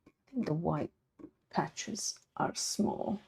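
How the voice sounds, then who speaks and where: Low, croaky-voiced woman streamer. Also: animated, takes it slowly. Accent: Italian. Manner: quiet, murmured asides while working. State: slightly croaky voice. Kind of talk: casual live-stream chat while drawing.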